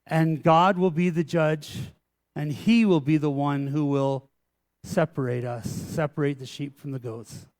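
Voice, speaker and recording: A man's voice: a little high, steady tone, a little slow, a little mellow. A man preaching a sermon as pastor, earnest and emphatic, building on repeated phrases.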